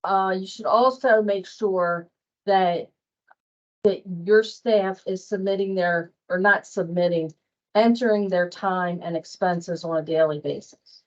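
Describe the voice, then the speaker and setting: A woman giving an informal workplace training walkthrough, speaking in a plain, halting, conversational way over muffled online meeting audio. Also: mid-range voice. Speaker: staff trainer on invoicing. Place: online meeting with screen share.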